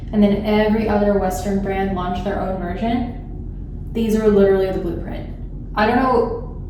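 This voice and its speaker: A young woman who grew up in Thailand, chatting casually through her makeup routine with lots of "like" fillers. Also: mid-range voice. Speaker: beauty vlogger. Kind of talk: casual get-ready-with-me chat. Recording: roomy echo, distant mic, background noise.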